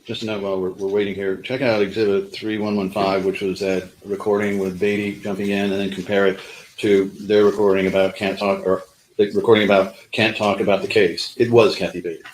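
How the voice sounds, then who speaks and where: Male trial lawyer, lowish voice, halting direct closing argument, faint room noise behind. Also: flat delivery, low-quality recording. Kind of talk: courtroom argument to a jury. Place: courtroom.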